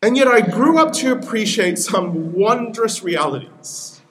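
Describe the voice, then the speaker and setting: Young Peruvian-born pastor, Spanish native, slightly high voice, earnest sermon delivery. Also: male voice, expressive, fairly bright tone. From Peru. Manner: earnest, with repeated appeals and pauses.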